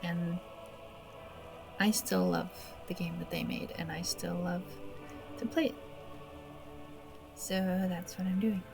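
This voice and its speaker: A woman's voice: fairly low pitch, steady tone, slow, creaky, pauses often, over music, poor audio. A woman hosting a gaming video with casual, awkward, self-deprecating commentary, speaking hesitantly. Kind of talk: casual let's play commentary.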